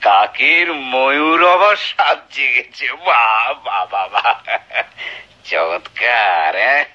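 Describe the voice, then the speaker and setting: A contemptuous, bullying husband in a radio play. His voice is very forceful and sharp, swinging widely between drawled sneers and snapped commands. The sound is slightly muffled and lo-fi. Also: medium pitch, lively intonation, takes it slowly, exaggerated voice. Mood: sneering. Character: cruel, contemptuous husband with a violent temper. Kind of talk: Bengali radio drama acting. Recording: dull lo-fi radio drama recording.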